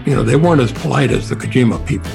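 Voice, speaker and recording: Gravelly older man telling stories. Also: medium pitch, racing. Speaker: podcast co-host, former architecture executive. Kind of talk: conversational storytelling. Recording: voice clips over music bed.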